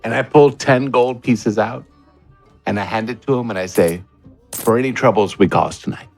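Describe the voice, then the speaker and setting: A man's voice: medium pitch, moderate pace, soft background music. A man playing a tabletop game, casually saying what his character does and says.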